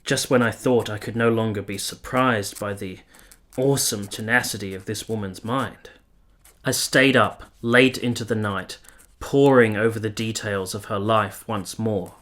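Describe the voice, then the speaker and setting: An actor playing an earnest, reflective nineteenth-century gentleman in a radio drama, with measured, formal phrasing. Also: lowish voice, fairly even intonation. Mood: awed narration. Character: earnest, reflective gentleman of 1870s Italy, troubled lover. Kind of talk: radio drama dialogue and first-person narration.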